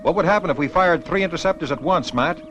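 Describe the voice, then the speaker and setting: An actor playing a confident, easygoing heroic rocket pilot in a science fiction series, clipped and breezy. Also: male voice, fairly high pitch, fast, a little hiss or hum, close to an everyday voice. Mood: focused. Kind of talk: 1960s children's science fiction puppet series acting. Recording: dull vintage sound, loud music behind.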